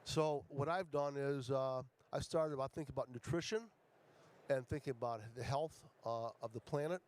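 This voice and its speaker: Halting man giving a startup pitch. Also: steady tone, a little mellow. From Ecuador. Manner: halting, many fillers and restarts. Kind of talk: conference pitch.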